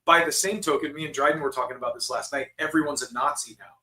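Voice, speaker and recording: A man from Utah, a podcast co-host, talking in a casual, profane and animated way. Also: mid-range voice, fast, echoey room. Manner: animated, profane, swings into mocking quoted voices. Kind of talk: casual conversation.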